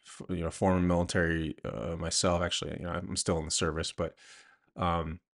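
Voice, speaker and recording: A man answering interview questions conversationally as an author and host, thinking aloud haltingly with many a "you know". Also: deep voice, little pitch movement, creaky.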